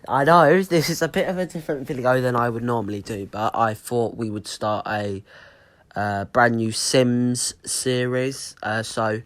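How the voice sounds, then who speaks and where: A teenage boy with a cold, sounding croaky, rambling casually with lots of ums as he talks over his own building gameplay. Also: mid-range voice, fairly even intonation, measured pace. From United Kingdom. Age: teens. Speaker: gaming YouTuber.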